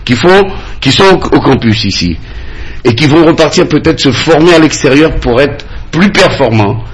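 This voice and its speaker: A husky man, making his case as a candidate in a radio campaign debate. His pitch swings widely as he talks, and he keeps hammering his points with repeated phrases. It sounds like a radio studio. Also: expressive, moderate pace, poor audio. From French Guiana. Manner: insistent, emphatic, repeats phrases to drive points home.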